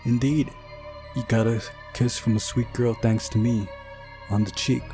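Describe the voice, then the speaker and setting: A man's voice: medium pitch, fairly even intonation, unhurried, over music, lightly acted. Man voicing a smug, malicious young prankster. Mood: smug taunting. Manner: smug, taunting. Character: malicious mocking young pioneer, ghostly male prankster.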